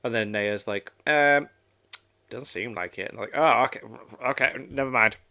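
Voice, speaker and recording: A man's voice: medium pitch, normal speed, sharp tone. A man hosting a reaction video with casual, informal commentary, swearing now and then and acting out imagined dialogue.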